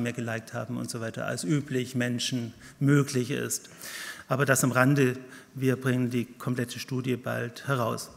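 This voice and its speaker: A middle-aged man with a Swiss German accent giving a conference keynote from a lectern, lively and wry, with self-deprecating jokes. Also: medium pitch. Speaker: keynote speaker, professor. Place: large conference hall with audience. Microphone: lectern mic at a podium. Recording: gravelly voice in a reverberant hall.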